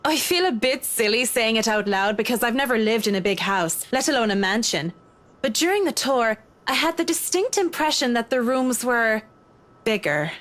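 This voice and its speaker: A woman's voice: a little high, lively intonation, normal speed, a bit bright. Reserved, dry professional woman. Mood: hesitant, thoughtful. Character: reserved, blunt professional woman designer in a horror game. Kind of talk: visual novel voice acting.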